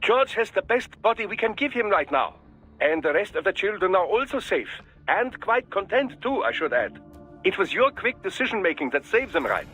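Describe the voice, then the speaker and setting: A fussy, genial male scientist with a high, husky voice that swings animatedly up and down. He speaks formally and precisely, like a wordy academic in a game. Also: sing-song, moderate pace, bright, forceful tone, faint music behind, heavily acted, poor audio. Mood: reassuring. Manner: formal, verbose, precise, over-polite. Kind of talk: video game voice acting.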